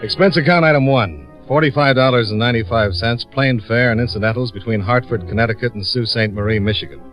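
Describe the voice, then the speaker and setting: An actor playing a businesslike American freelance investigator in a 1950s radio detective drama, clipped and matter-of-fact. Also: male voice, medium pitch, fast talker, music in the background, lightly acted, rough recording. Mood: matter-of-fact narrating. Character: tough, businesslike male freelance insurance investigator. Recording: vintage radio recording.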